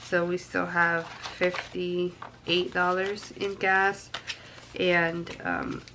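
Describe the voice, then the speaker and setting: A woman's voice: lowish voice, background noise. A young woman with a stuffy nose from a cold, chatting casually to camera and counting cash aloud with frequent ums. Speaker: budgeting vlogger.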